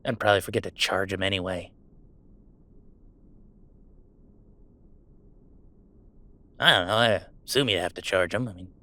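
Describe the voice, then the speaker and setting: A man's voice: on the low side, pushed and bright, no echo. A man playing a goofy young werewolf streamer in an intimate roleplay audio drama, talking in casual, mock-grandiose banter with small hesitations. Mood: teasing banter. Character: goofy young male werewolf streamer, playful, defiant about family. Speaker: game streamer.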